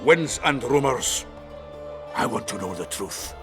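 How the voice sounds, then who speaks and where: An actor playing a gruff, crude but hearty dwarf warrior in a fantasy video game, blunt and salty. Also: male voice, mid-range voice, animated, unhurried, music in the background, lightly acted. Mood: gloomy, blunt. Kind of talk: cinematic fantasy game acting.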